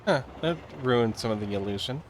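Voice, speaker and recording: A man's voice: mid-range voice, animated, brisk, noisy background. A man doing casual live game commentary as a streamer, chatting and reading lines aloud.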